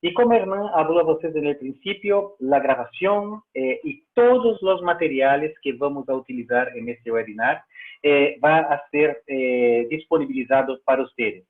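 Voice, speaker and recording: A fairly high-voiced man with a Brazilian Portuguese accent, presenting a webinar over a video call. He speaks a learned second language, pausing and restarting often. The sound is thin and tinny, like a phone line. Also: expressive. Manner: hesitant, many fillers and restarts in a second language.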